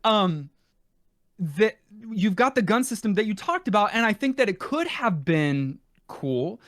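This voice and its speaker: Man, high voice, dry close sound, podcast co-host, casual discussion, rambling and self-correcting. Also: big pitch swings, a little slow, no echo. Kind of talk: casual retro gaming discussion.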